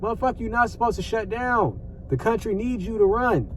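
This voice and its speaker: A man's voice: sits high, expressive, brisk, fairly bright tone, distant mic, slight background noise. An American man giving casual, off-the-cuff commentary as a vlogger, conversational and repetitive, with plenty of slang and swearing.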